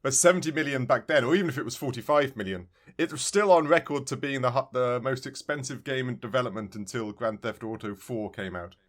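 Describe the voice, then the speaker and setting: A man's voice: medium pitch, a little fast, hardly any pauses, fairly bright tone. A man co-hosting a podcast, chatting casually about retro video games in a rambling, emphatically repetitive way. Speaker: podcast co-host.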